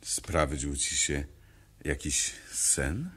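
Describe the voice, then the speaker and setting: A man playing a gentle, wistful creature softly reminiscing in a 1970s radio play. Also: rumbling low, animated, very slow, breathy edge, full character voice. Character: gentle male creature reminiscing about home valley. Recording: noisy vintage vinyl radio play.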